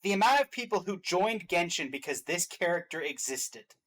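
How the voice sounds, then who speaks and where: A man with a fairly high voice gives casual reaction commentary on a game. He hosts in a chatty, emphatic style, sharing his opinions freely. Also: lively intonation, normal speed, a bit bright. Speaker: host of a reaction and ranking show.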